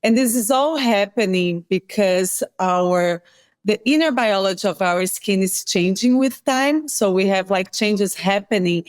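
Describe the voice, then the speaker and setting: A woman with a Brazilian accent explains things in a measured, explanatory way, pausing to correct herself. Her voice sits in a middle pitch, close and dry on the mic, with no room sound. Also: no echo. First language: Portuguese. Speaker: guest scientist. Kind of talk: interview explanation.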